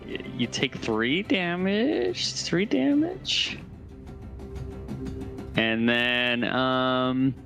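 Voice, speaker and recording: A man with a bright, forceful voice chats as a player in a tabletop game. His delivery is slow but lively in pitch, with faint music behind. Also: creaky. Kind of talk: casual game chat.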